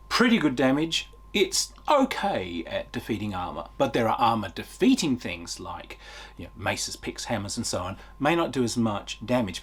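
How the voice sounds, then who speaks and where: Guy doing an off the cuff game review, kinda low husky voice, keen and chatty, leans hard on key words. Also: a bit bright. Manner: enthusiastic, conversational, emphatic stresses. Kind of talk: unscripted review talk to viewers.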